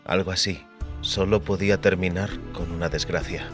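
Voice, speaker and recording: A man reading a fantasy audiobook as narrator, in a measured, literary storytelling manner. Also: deep voice, fairly even intonation. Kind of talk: dramatized scripted fantasy narration. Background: faint music.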